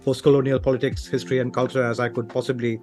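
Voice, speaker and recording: A man's voice: mid-range voice, level tone, fast, a little mellow, soft background music. Thoughtful man from Sri Lanka in conversation. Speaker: author, interview guest. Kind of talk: casual interview talk.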